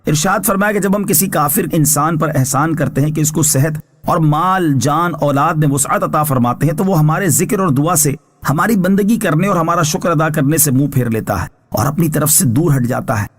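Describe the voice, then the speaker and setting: A male religious scholar with a somewhat high voice for a man. He reads scripture commentary from a prepared text. His delivery is formal, measured and instructive. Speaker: Islamic scholar giving Quran translation and commentary.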